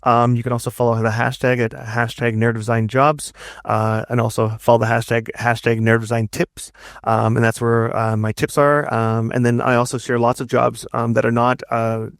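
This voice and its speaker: A man talking casually as an interview guest, explaining things in a halting way and often doubling back on his words. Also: mid-range voice, little pitch movement, gravelly, no room sound, clean studio sound. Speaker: guest, narrative designer and writer.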